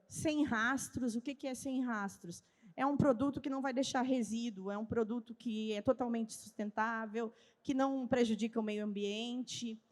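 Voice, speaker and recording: A woman with a southern Brazilian gaúcho accent giving a didactic conference talk, asking questions and answering them herself. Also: a little high. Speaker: speaker at a trade fair. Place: large event hall with a live audience. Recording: long hall reverb.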